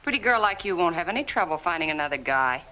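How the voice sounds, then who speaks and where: An actress playing a hard, mocking accomplice in a 1940s film noir. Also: female voice, on the low side, expressive, fast talker, pushed and bright, lo-fi sound.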